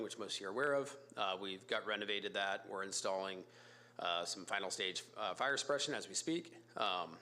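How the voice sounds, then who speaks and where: A man with a raspy voice from a cold, a company executive making a casual, filler-heavy pitch to a city council. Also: bright, forceful tone. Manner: informal, fillers and restarts, brisk business pitch. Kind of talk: public comment at a council meeting. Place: council chamber.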